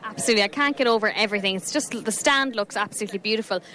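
A woman's voice: mid-range voice, very fast, sharp tone. A young woman with an Irish accent, a bubbly and effusive radio presenter interviewing stall holders on location at an outdoor show.

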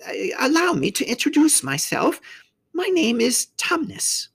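A kindly storybook faun, pitched far up and breathy. He is formal and flustered, stammering politely as his voice leaps about in great swoops. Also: huge pitch swings, unhurried, fairly bright tone, exaggerated voice. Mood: flustered, delighted.

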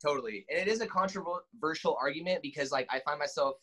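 A man's voice: a little high, fast talker, very noisy. A man in his late twenties talking bluntly on a podcast, swearing and calling everyone "bro.". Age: 20s. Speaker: podcast guest. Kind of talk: casual podcast chat.

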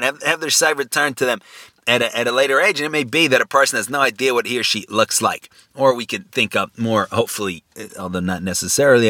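A man's voice: mid-range voice, bright, forceful tone, dry recording. A man giving an unscripted philosophical lecture, thinking aloud with many fillers and restarts and asking rhetorical questions. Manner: poses rhetorical questions, asks "right?".

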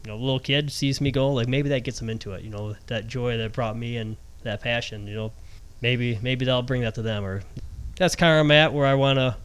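A man chats as a podcast co-host in a smooth, clear voice. He is casual and conversational, often dropping in "you know" as he goes. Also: mid-range voice, fairly even intonation, a little fast, fairly bright tone, poor audio.

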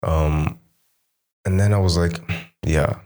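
A young man talking casually about making music, relaxed and repeating his words, slipping Portuguese words into his English. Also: sits low, slow. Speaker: musician guest. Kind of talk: casual chat.

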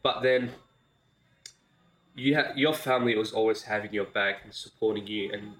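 Low-pitched young man telling his story casually. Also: moderate pace, a bit bright, poor audio. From Iraq. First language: Arabic. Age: young adult. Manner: informal, repeats phrases, frequent "like". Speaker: podcast guest.

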